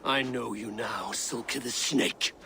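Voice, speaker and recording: A stern Viking warrior. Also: male voice, mid-range voice, slow pace, gravelly, sharp tone, a little hiss or hum, heavily acted. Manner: blunt, stern, honor-bound. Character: stern male Viking warrior, proud and blunt. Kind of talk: video game cutscene acting.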